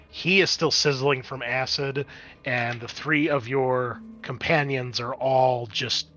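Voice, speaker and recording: A man's voice: medium pitch, pushed and bright. A man acting as game master, narrating a tabletop role-playing game and talking players through the rules.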